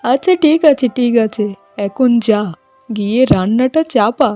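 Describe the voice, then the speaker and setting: A woman's voice: a little high, measured pace, dark tone, lightly acted. A woman playing a nagging, melodramatic middle-aged village mother-in-law in a cartoon, speaking. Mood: angry nagging. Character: domineering, scolding village mother-in-law, manipulative. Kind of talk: cartoon voice acting. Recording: music behind the voice.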